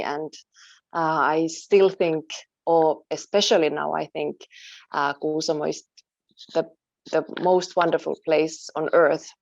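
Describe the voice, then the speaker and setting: A woman from Finland, a native Finnish speaker, being interviewed about her work and talking thoughtfully, pausing and searching for words. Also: low voice, vocal fry, a little mellow, no echo, dull audio. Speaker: interview guest, photojournalist. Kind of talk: online interview.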